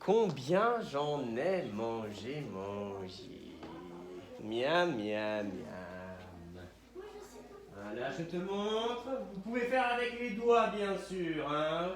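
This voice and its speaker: A man's voice: on the high side, sing-song, very slow, crowd noise. A male kindergarten teacher with a stuffy nose from a cold, leading a playful lesson and coaxing young children along. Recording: echoey room, voice a bit far from mic. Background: children talking nearby.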